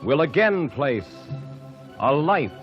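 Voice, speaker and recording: A man's voice: fairly high pitch, very animated, takes it slowly, loud music behind the voice. A man announcing a radio program in a formal 1940s style, reading credits and sponsor copy. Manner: smooth, formal announcer delivery. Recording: dull old radio broadcast.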